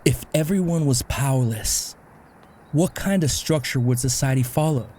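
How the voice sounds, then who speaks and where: A man plays a middle-aged father in an amateur comic dub, easygoing and modest about himself. His voice sits at an average pitch and he talks casually and thoughtfully. There is noticeable noise behind him. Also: moderate pace, dark tone, close to an everyday voice. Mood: reflective. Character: easygoing, self-deprecating middle-aged father, powerless author.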